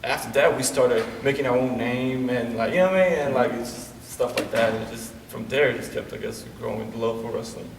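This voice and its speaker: Man from Bosnia, late twenties, laid-back and rambling, gravelly voice, distant and echoey in a large room. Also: medium pitch, a little fast, few pauses, noisy background. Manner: laid-back, keeps saying "you know what I'm saying". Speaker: wrestler guest. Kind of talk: casual interview chat. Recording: roomy echo from a distance.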